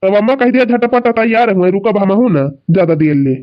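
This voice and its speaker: A man's voice: sits high, lively intonation, brisk. A man playing a complaining young village farmer and son-in-law in a cartoon comedy, with an Awadhi accent and an insistent, plaintive manner. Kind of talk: rural cartoon comedy dialogue. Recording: dull lo-fi sound.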